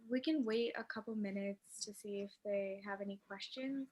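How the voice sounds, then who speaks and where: A woman's voice: medium pitch, moderate pace, a little mellow. A woman hosting a virtual session, politely asking questions with hesitant ums over a video call. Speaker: session organizer, student. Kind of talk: casual Q&A.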